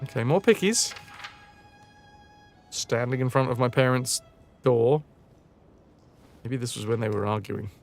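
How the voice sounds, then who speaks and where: A man's voice: medium pitch, unhurried, long pauses. A man doing casual live video game commentary with a sardonic, dry sense of humour. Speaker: live streamer. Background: game music.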